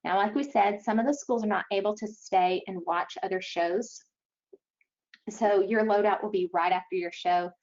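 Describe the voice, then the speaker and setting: A woman giving an explanatory webinar briefing as an event organizer, speaking haltingly with frequent pauses. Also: medium pitch, a little mellow. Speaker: event organizer presenting to schools. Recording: dull, lo-fi online webinar audio.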